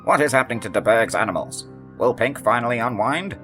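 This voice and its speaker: A man's voice: medium pitch, lively intonation, fairly quick, fairly bright tone, soft background music. A man announcing dramatic cliffhanger questions and the next episode of an audio serial.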